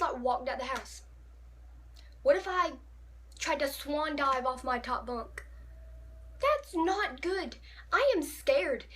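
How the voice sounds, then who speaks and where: A teenage girl with a high, bright voice tells stories about herself straight to the camera. She is chatty and dramatic and makes fun of herself as she goes. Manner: chatty, dramatic, giggly, self-mocking. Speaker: vlogger. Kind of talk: casual storytime vlog.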